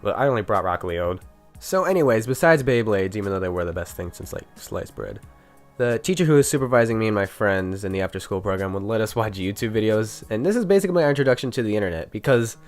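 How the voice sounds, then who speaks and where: A man's voice: on the low side, lively intonation, fast talker, faint music behind. A young man with a nasal, stuffy voice from being sick, telling a joking, chatty story about his school days with small stumbles. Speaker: YouTuber. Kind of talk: casual storytime narration.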